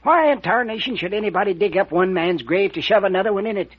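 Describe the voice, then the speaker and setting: An actor playing a stubborn, crotchety old rustic mayor with a cracked, raspy voice in a vintage radio mystery serial. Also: male voice, high-pitched, expressive, fast talker. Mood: suspicious. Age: older. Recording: muffled old radio recording.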